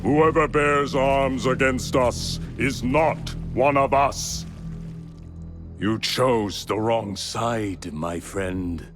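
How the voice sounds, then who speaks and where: An actor playing a cruel middle-aged warlord in a video game cutscene, speaking in a cold, measured, threatening way. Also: male voice, medium pitch. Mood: menacing. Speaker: villain warlord. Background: game music score.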